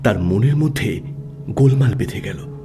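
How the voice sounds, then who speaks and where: A man narrating a classic literary audio story in a dramatic, scripted style with measured phrasing and pauses. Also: fairly low pitch, lively intonation, vocal fry, over music.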